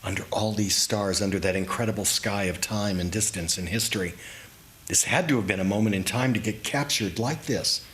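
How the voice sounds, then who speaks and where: A man giving a dramatic, reflective reading of his own memoir story, with deliberate pauses. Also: on the low side, fairly bright tone. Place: indoors in a historic house, live reading. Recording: clearly noisy live room recording.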